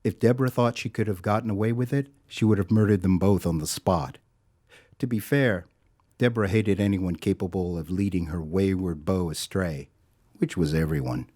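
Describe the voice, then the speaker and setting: An actor as the narrator of an audio drama, telling a scripted story in a wry, sardonic way. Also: male voice, low-pitched, moderate pace, a little mellow. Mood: wry, measured.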